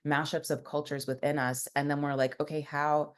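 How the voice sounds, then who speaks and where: Very low-voiced woman, casual and rambling. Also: level tone, moderate pace, smooth. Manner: rambling, many "like" and "you know" fillers. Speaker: founder and guest. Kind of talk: casual conversational interview.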